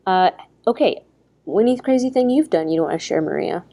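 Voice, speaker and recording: An American woman hosting a podcast in casual chat, chatty and full of quick asides. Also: mid-range voice, lively intonation, dark tone. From United States. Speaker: podcast host.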